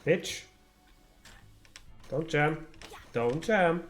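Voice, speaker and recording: A man's voice: fairly high pitch, expressive, very slow. A man streaming a game with casual, sarcastic commentary, muttering asides and swearing. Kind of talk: casual live gameplay commentary. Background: faint game music.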